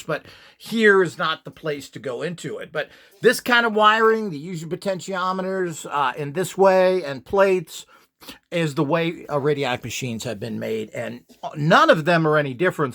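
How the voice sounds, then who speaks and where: A man's voice: on the high side, a bit bright. A man giving an informal, rambling instructional talk as host, in an opinionated, dismissive manner.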